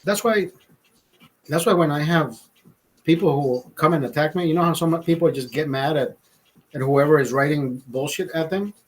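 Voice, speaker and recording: A man's voice: fairly high pitch, moderate pace, rough recording. A man in his forties talking casually on a livestream, rambling and profane, repeating himself as he drinks beer and gives pep talks. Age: 40s. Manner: loud emphatic motivational rants. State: drinking beer, a bit tipsy.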